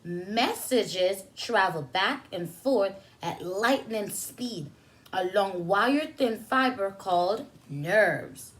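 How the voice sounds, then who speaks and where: A woman's voice: mid-range voice, animated. A woman reading aloud to young children as a preschool teacher, explaining as she goes and posing simple questions. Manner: instructional, asks questions and pauses for answers. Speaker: preschool teacher hosting story time. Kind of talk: read-aloud story time for young children with teaching asides.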